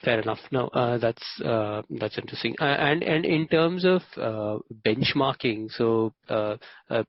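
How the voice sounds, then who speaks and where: A man's voice: medium pitch, dry recording. Indian man, analyst role, hesitant and courteous questioning, dry lo-fi phone conference audio. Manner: hesitant, halting, polite. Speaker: analyst asking questions on a call. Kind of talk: spontaneous question-asking.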